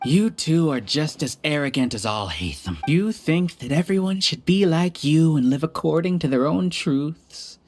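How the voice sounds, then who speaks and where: A woman playing an arrogant, scheming hive-mind villain in a video game, speaking in measured, grandiose, condescending phrases over music. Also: low-pitched, lively intonation, a little mellow, slight background noise. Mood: scornful. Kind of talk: scripted video game voice acting.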